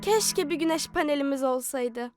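A woman voicing an eager, imaginative little girl who explains things clearly in a children's cartoon, with music behind. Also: sits high, moderate pace, natural voice. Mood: curious, helpful.

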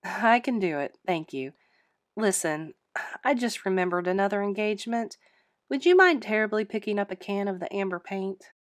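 A woman voicing a flustered but firm middle-aged female innkeeper in a storybook, brisk and emphatic. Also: medium pitch, lively intonation, dry recording, clean studio sound, natural voice. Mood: brisk, polite.

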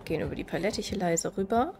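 A woman's voice: fairly low pitch, animated, fast, darkish tone, a little hiss or hum. A woman with a stuffy, slightly nasal voice from a cold, chatting casually while she plays and explains game mechanics. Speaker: gaming video creator. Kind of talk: casual gameplay commentary.

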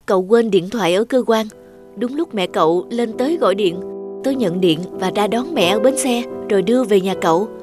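A woman playing a calm, loyal young friend in a cartoon, composed and explanatory. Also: mid-range voice, moderate pace, close to an everyday voice. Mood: calm, reassuring. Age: young adult. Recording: background guitar music over dialogue.

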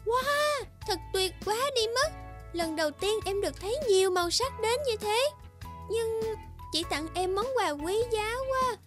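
A woman voicing a kind, humble little flower in an animated fairy tale, childlike, polite and earnest. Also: very high voice, unhurried, over music. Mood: amazed, shy. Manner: polite, earnest, wide-eyed.

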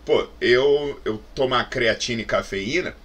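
A man's voice: medium pitch, unhurried. A man in his forties with a Brazilian accent, giving an informal, conversational explainer to camera with rhetorical questions. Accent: Brazilian Portuguese. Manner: animated, conversational, frequent "né" tags and rhetorical questions. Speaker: fitness science YouTuber, presenter. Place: small indoor office with bookshelves.

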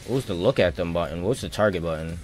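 A man's voice: low voice, fast talker. A man doing casual live gameplay commentary as a streamer, talking to himself in slangy, sweary asides. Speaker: game streamer. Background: music and game audio.

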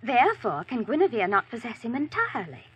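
A plucky young maiden of the age of chivalry speaks earnest, ornate old English. Her voice is highish and very breathy-rough, with lively swoops, in a vintage radio recording. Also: fairly quick, full character voice. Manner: earnest, ornate archaic phrasing. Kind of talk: 1940s radio acting, archaic speech.

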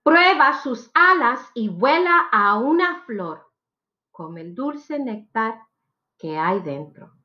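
A woman's voice: on the high side, lively intonation, takes it slowly, lo-fi sound. A woman in her thirties reads picture books aloud for children, expressively and with careful phrasing, as a teacher would.

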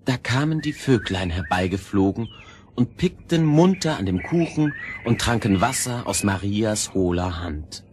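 A man narrating a scripted fairy tale in a husky voice, measured and expressive. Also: lowish voice, slight background noise.

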